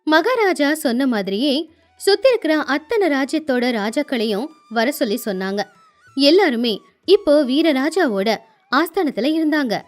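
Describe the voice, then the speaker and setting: Female moral-tale narrator, high-pitched and expressive, storytelling delivery over soft background music. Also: moderate pace.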